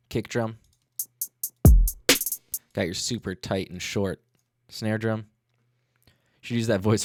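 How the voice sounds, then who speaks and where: A man's voice: lowish voice, a little mellow, no room sound. A man hosting a casual livestream tutorial as a music producer, talking in a laid-back, slangy way into a studio microphone.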